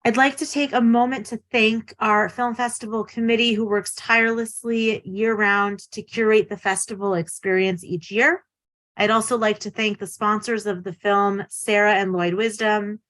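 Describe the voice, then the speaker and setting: A woman's voice: medium pitch, a bit bright, no echo. A woman hosting a virtual event, reading welcomes and thanks in a friendly way over a video call. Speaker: event host.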